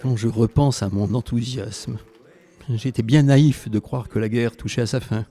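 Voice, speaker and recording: Mellow, reflective male narrator actor. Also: medium pitch, steady tone, normal speed, natural voice. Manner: reflective, literary. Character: reflective former resistance fighter recounting wartime memories. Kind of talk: scripted narration in an audio drama.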